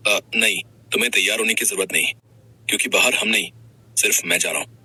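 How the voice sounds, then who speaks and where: Man acting cold young husband, very bright forceful voice, rough edge, arrogant, curt, scornful, drama story. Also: fairly low pitch, fairly even intonation, a little fast, full character voice, poor audio. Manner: curt, scolding, dismissive.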